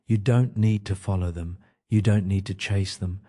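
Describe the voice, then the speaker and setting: A man narrating a guided sleep meditation in a gentle, soothing manner, leaving pauses between short phrases. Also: sits low, steady tone.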